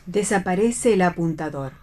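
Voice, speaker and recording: Female narrator for read radio theatre, slightly low pitch, delivers scripted stage directions. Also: expressive, moderate pace, darkish tone.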